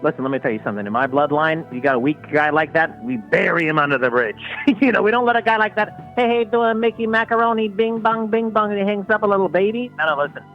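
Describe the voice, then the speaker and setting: A man as Sicilian mobster caller, tough-guy talk, lively high pitch, muffled line. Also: fast talker, hardly any pauses, faint music behind. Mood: boastful. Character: brash Sicilian mobster man, boastful and menacing. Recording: muffled phone-line call.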